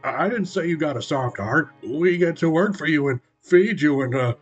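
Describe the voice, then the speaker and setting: A man's voice: a little high, lively intonation, moderate pace, breathy edge, soft background music, pitched above the performer's own voice. A man voicing dim-witted male Cyclops brutes who stammer and hesitate. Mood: dopey.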